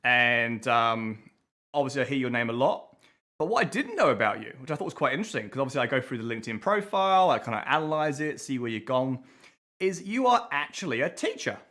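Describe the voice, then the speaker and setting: A man hosting a relaxed conversational show, chatty and loose, often restarting his sentences. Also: medium pitch, fast. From from abroad, living in New Zealand. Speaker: podcast host. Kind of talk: casual chat.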